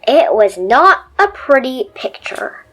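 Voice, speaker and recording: Expressive woman reading a picture book. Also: high-pitched, slow pace, faint music behind, rough recording. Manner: expressive, playful storytelling. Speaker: storybook reader. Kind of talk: children's picture book read-aloud.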